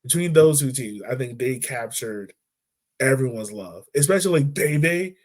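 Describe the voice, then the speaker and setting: A man's voice: medium pitch, expressive, moderate pace, a little mellow. A man hosting a podcast, chatting informally about football and thinking aloud as he goes. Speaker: podcast host discussing football. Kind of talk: casual conversational sports chat.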